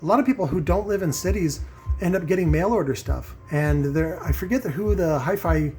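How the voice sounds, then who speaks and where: Male vlog host, middle-aged, slightly high voice, casual and opinionated, rambling with emphatic repeats, faint music underneath. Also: brisk, a little mellow. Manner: often checks in with "right?" and "okay?". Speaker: host of a home audio review vlog. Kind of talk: casual opinionated monologue to viewers.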